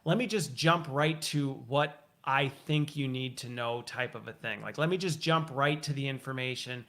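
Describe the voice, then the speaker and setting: A man's voice: medium pitch, moderate pace, fairly bright tone. A man hosting a casual interview, talkative, thinking aloud and recapping what he has heard. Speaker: interview host.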